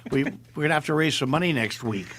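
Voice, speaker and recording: An older man co-hosting a comedy talk show, wry and halting, with dry jokes. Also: a little high, fairly bright tone, slight background noise. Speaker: show co-host.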